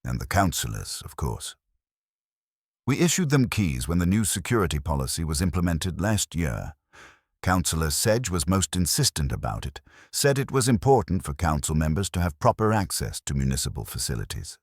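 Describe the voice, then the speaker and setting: A man's voice: low-pitched, very animated, normal speed, slightly rough, no echo, studio quality, exaggerated voice. A man voicing a nervous, fussily precise small-town mayor in a storybook mystery. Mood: flustered, guarded. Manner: nervous, over-rehearsed, precise.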